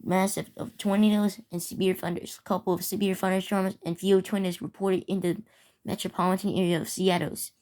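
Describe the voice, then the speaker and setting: A woman's voice: medium pitch, little pitch movement, a little fast, darkish tone. A woman delivering a scripted emergency warning announcement as an alert announcer.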